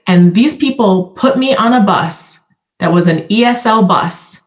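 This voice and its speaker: A woman's voice: mid-range voice, unhurried. Woman on a dull, echoey line. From Vietnam. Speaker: guest. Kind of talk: candid conversational discussion.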